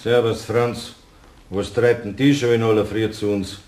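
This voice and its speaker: An actor playing a superstitious, stubborn middle-aged mechanic in a radio play, agitated and insistent, with a Bavarian accent. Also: male voice, on the low side, steady tone, normal speed, darkish tone, roomy sound, natural voice. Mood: grimly determined. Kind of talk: German radio play acting.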